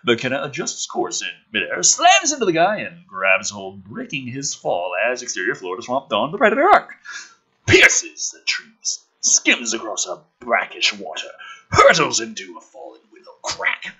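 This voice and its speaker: A man's voice: medium pitch, huge pitch swings, normal speed, sharp tone, breathy edge, low-quality recording. A man reading a film script aloud in a theatrical, emphatic style, with sarcastic asides.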